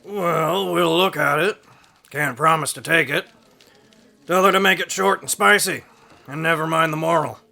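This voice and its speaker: A man's voice: fairly high pitch, moderate pace, vocal fry, bright, forceful tone, heavily acted. A man playing a curt, businesslike newspaper editor in an audio drama, matter-of-fact and dismissive. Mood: brusque, businesslike.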